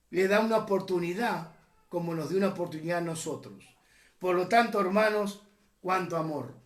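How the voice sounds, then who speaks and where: A man's voice: sits high, reverberant. A man from Uruguay preaching a teaching sermon, impassioned, rising and dropping sharply and often calling the listeners brothers. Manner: impassioned, rises and drops sharply, often says "hermanos".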